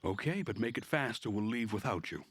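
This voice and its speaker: A man voicing a brisk, bossy female summer camp leader in a visual novel, with a commanding manner. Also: fairly low pitch, expressive, breathy edge, dry recording, voice pushed up.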